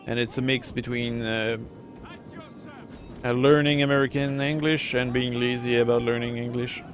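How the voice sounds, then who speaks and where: A man's voice: mid-range voice, steady tone, moderate pace, faint music behind. A middle-aged francophone man with a French Canadian accent, rambling casually with many fillers while commenting on a strategy game. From Quebec, Canada. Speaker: gameplay streamer.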